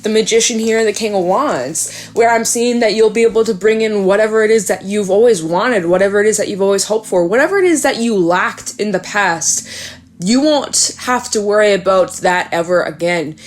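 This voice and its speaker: A woman's voice: medium pitch, moderate pace. Chatty young woman reading tarot. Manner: chatty, rambling, many fillers like "um" and "like". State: stuffed up nose. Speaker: tarot reader. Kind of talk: casual tarot reading to camera.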